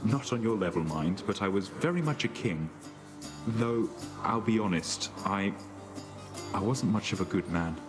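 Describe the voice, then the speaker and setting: A man's voice: lowish voice, expressive, measured pace. A humble old ex-king shade. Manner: earnest, modest and gentle. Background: game music.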